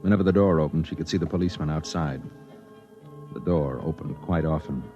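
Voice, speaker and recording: A man's voice: very deep voice, a little fast, dull audio. An actor playing a newspaper reporter who narrates his story in a 1950s radio drama. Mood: matter-of-fact. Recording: 1950s radio broadcast with music.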